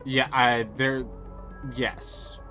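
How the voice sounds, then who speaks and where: A man's voice: medium pitch, flat delivery, extremely slow, over music. A man streaming a story game live, reading narration aloud and thinking aloud between moves, with frequent restarts.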